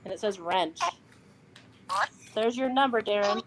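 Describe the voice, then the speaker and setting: A woman's voice: mid-range voice, measured pace. A woman investigating a haunted manor, steadily reading out what her device says and giving instructions to her team. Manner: reads out device words matter-of-factly. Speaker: paranormal investigator. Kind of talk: live ghost-hunt commentary.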